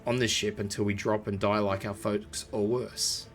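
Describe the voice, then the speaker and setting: A hoarse man reading the lines of a bitter young enslaved boy aboard a ship from a video game. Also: fairly low pitch, level tone, normal speed, soft background music, natural voice.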